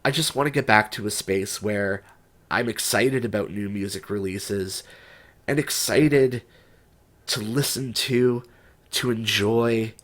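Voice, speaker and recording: A young man with a stuffed-up, congested voice, talking through an unscripted vlog in a rambling, hesitant way full of restarts and fillers. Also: lowish voice. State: stuffed up, recovering from a cold. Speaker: music writer and YouTuber.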